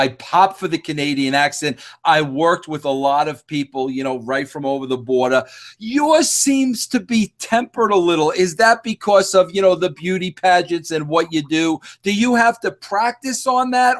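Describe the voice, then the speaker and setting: A man hosting a podcast interview, teasing his guest and often repeating words and restarting sentences. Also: a little high. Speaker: podcast host. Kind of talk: casual interview chat.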